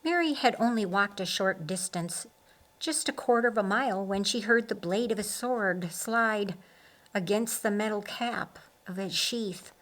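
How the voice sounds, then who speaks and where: A woman's voice: mid-range voice, unhurried, a bit bright. A woman reading a story aloud as scripted narration for an audiobook. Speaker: audiobook reader.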